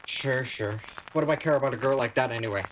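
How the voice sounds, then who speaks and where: A woman playing a prickly, nervous, lovestruck male shop clerk in a radio play, with a flustered, halting delivery. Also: rumbling low, a little hiss or hum, low-quality recording. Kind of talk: virtual radio play acting.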